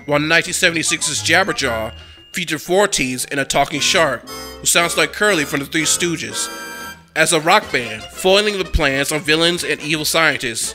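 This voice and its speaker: A man's voice: a little high, expressive, moderate pace, pushed and bright, faint music behind. A man narrating a cartoon history documentary in a scripted, enthusiastic fan's manner. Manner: enthusiastic, fan-like.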